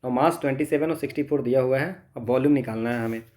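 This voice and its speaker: A man's voice: medium pitch, quick pace, darkish tone. A man with an Indian accent teaching as a tutor, working step by step through problems, thinking aloud and answering his own questions. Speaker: physics tutor. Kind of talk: step-by-step exam problem lesson, mixing Hindi and English.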